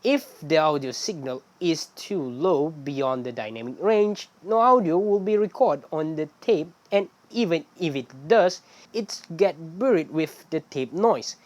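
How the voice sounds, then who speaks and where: A woman hosting a casual explainer in non-native English, talking in an animated way with rhetorical questions. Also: low voice, a little slow, highs cut off. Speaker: video host.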